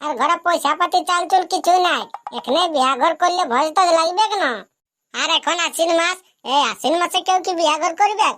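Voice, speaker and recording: A man's voice: extremely high pitch, brisk, sharp tone. A man voicing a grumpy, scolding village father in a comic cartoon, with a Purulia Bengali accent. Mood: reasoning.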